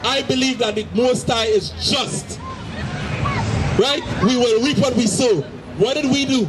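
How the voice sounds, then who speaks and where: High-voiced Trinidadian street preacher. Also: male voice, unhurried. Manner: forceful, repetitive, shouting at times. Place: city street outdoors, crowd around. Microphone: handheld mic through a loudspeaker. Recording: noisy street with crowd.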